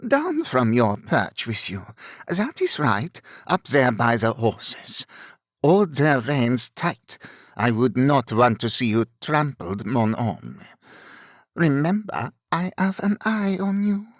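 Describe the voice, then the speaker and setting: A man voicing a brisk French highwayman in an audiobook, bossy and taunting, with a roughened voice and a French accent. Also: very animated, no room sound, clean studio sound. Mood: bossy threat. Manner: commanding, taunting.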